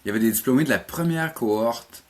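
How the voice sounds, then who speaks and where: A man's voice: mid-range voice, quick pace. A middle-aged man with a Quebec French accent, answering interview questions conversationally and often repeating words as he recounts. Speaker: college animation teacher, interview guest.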